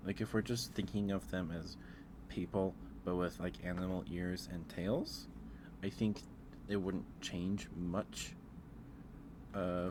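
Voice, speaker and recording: A man with a low-pitched voice hosts a casual ASMR stream. He speaks softly and hesitantly, rambling as he thinks aloud. There is clear background noise on the recording. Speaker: livestream host. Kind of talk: casual ASMR livestream chat, ranking aloud.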